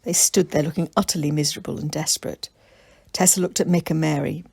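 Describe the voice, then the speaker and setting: A woman's voice: deep voice, steady tone, fast talker, creaky, a little mellow, slightly rough, no room sound. A woman narrating a children's storybook as its author and reader.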